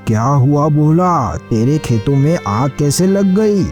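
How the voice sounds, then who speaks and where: Cartoon villain: greedy village moneylender voiced by an actor, dark tone, cold, calculating, light music behind. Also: medium pitch, expressive, slow. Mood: cold, callous. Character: scheming greedy male village moneylender, callous. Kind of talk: Hindi animated moral story dialogue.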